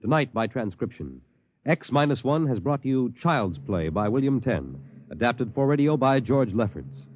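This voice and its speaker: Animated American male radio announcer. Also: medium pitch, normal speed, a little hiss or hum. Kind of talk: 1950s scripted radio announcing. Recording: dull, lo-fi 1950s radio broadcast.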